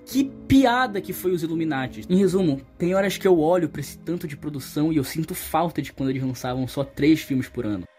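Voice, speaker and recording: A man's voice: a little high, brisk, few pauses, a little mellow. A young man with a Brazilian accent delivers opinionated, colloquial video-essay commentary, getting emphatic when he criticizes. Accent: Brazilian Portuguese. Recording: voice-over over background music. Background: music.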